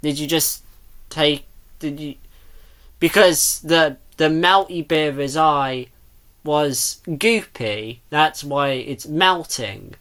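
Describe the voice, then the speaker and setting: Bright-voiced reactor nitpicking casually. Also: medium pitch, slow. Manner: questioning, nitpicking, rambling. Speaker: reactor commenting on a video.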